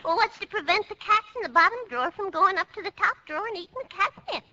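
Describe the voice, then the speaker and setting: An actress playing a fussy, literal-minded maid in a radio comedy, rambling on matter-of-factly. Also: female voice, very high-pitched, lively intonation, fast talker, bright, forceful tone, exaggerated voice. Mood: fussy, puzzled. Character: literal-minded, fussy young hotel maid with run-on logic. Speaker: comic actress. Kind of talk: 1950s radio comedy acting. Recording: noisy, muffled old radio broadcast recording.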